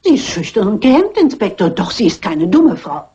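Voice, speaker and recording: An actress playing a shrewd, persistent elderly amateur sleuth with polite, pointed manners, in German film dubbing. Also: female voice, medium pitch, big pitch swings, normal speed, mellow, slightly rough. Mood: probing, insistent. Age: older. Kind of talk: dubbed classic crime film dialogue. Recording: muffled old film soundtrack.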